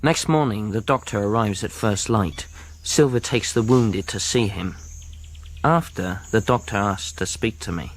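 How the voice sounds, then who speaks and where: A man's voice: fairly low pitch, a little slow. An actor playing an honourable cabin boy in a radio drama, telling his adventure earnestly. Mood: reflective. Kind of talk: radio drama narration and dialogue.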